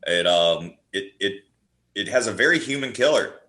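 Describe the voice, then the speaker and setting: Man, low pitch, roomy echo, casual film chat, enthusiastic, rambling storyteller, repeated word starts. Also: expressive, normal speed, bright, forceful tone. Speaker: podcast guest, horror playwright. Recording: roomy, echoing sound.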